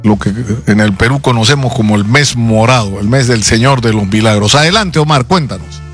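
A man's voice: mid-range voice, fairly quick, a bit bright. A man hosts a radio news show. He speaks conversationally and sometimes stumbles over his words. Faint music plays in the background. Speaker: news anchor.

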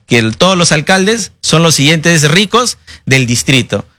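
A man's voice: fairly high pitch, very animated, measured pace, pushed and bright. A man in his thirties answers interview questions as a candidate. He talks in an earnest, self-assured way, building his case point by point. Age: 30s. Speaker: mayoral candidate.

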